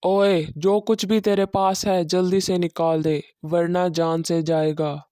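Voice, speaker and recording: A greedy cartoon bandit, acted out by a woman, bossy and full of menace. The tone is dark and mellow, recorded very dry. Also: on the low side, level tone, slow, no echo, voice pushed down. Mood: menacing.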